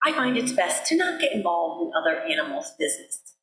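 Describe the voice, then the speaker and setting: A woman plays a smug storybook gazelle who keeps politely aloof. The delivery is measured and detached but melodic, in an echoey room. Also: fairly low pitch, very animated, fairly bright tone, pitched below the performer's own voice. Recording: roomy sanctuary echo.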